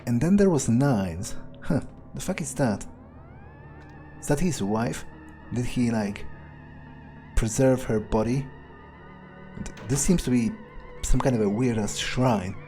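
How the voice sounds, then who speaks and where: Slow, muted man thinking aloud. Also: medium pitch, animated, dark tone, soft background music. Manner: halting, thinking aloud, sardonic, profane. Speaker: gamer playing in character. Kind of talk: in-character musing game commentary.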